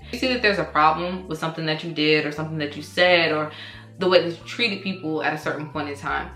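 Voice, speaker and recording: A woman in her twenties talking to camera, giving candid big-sister advice in a casual, animated way, with slang and the odd swear. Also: deep voice. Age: 20s. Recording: echoey room with faint music.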